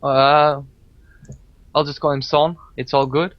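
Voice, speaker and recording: A man from Belgium, not a native English speaker, casting a live esports match in a relaxed, chatty way with many fillers. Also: on the high side, takes it slowly, dry recording. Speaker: esports caster. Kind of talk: live game commentary. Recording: dull lo-fi stream audio, faint music.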